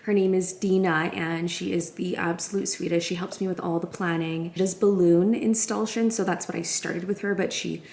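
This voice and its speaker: A woman in her thirties, slightly raspy and half awake, chatting in a casual home vlog, upbeat and full of "like" fillers. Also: fairly low pitch, fairly even intonation, normal speed, few pauses, a little mellow. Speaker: lifestyle vlogger.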